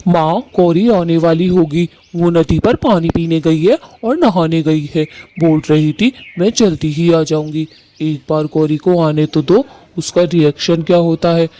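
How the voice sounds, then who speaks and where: A man's voice: a little high, fairly even intonation, measured pace, warm tone, lightly acted. A man voicing a cruel, grumpy husband in a cartoon, taunting and complaining. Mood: whining. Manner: harsh, complaining. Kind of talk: Hindi cartoon voice acting.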